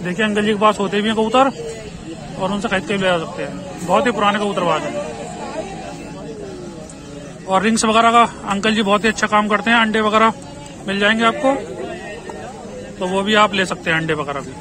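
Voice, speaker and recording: A man with a Western Uttar Pradesh Hindi accent vlogging from an outdoor pigeon market, with brisk promotional patter that keeps urging viewers on. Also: sits high. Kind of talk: walking market vlog, talking to sellers and viewers. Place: busy outdoor street market. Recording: noisy outdoor market crowd. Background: crowd chatter and traffic.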